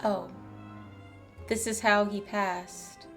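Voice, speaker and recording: A woman's voice: medium pitch, steady tone, very slow, vocal fry. A woman giving casual, playful commentary as she plays through a video game. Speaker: gaming streamer. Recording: faint game music behind.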